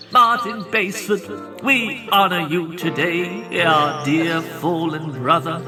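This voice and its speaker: A man playing a mournful, pompous eulogist in a comedy space audio drama, solemn and overwrought, with a sing-song delivery. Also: high voice.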